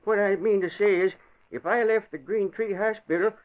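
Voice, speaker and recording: Man playing a high-voiced, folksy old inmate. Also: steady tone, moderate pace, lightly acted. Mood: meek, chatty. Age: older. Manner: folksy, deferential, chuckling rustic talk. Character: simple, meek old male asylum inmate tending guard dogs. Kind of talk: 1940s radio acting. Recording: dull old radio recording.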